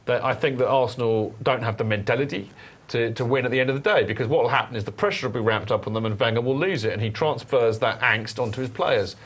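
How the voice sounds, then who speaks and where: A man hosting a casual football podcast, animated and rambling, with many restarts. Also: mid-range voice, fast talker, husky. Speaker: podcast host.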